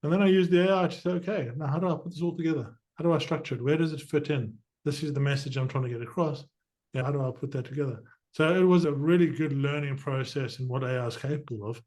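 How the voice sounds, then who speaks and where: A middle-aged man from southern Africa who hosts a podcast, explaining things with energy and quick asides. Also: fairly high pitch, a little mellow. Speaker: podcast host. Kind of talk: conversational interview.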